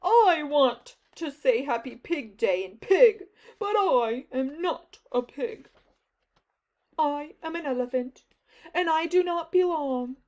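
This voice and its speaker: A woman voicing a gentle, worried male elephant in a storybook read-aloud, speaking earnestly and plaintively. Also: sits high, expressive, slow pace. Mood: unsure.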